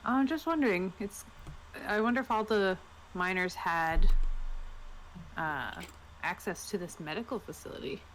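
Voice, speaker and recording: A woman's voice: medium pitch, measured pace, pauses often, a bit bright, a little hiss or hum, poor audio. A woman playing a tabletop game over a video call, chatting and improvising casually. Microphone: online video call.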